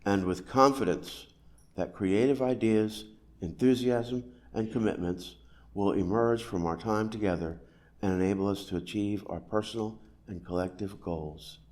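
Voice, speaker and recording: A man's voice: lowish voice, a little slow, darkish tone. A man reading a prepared text aloud in a church service.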